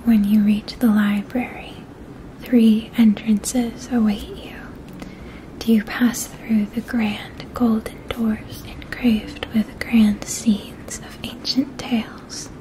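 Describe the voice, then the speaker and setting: A woman speaks in a soft whisper, guiding the listener through an ASMR fantasy story. Her delivery is very even, with little rise or fall, and she takes her time over each phrase. She articulates carefully and sounds gentle and soothing. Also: a little high, slow pace, rough recording. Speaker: ASMR roleplay performer.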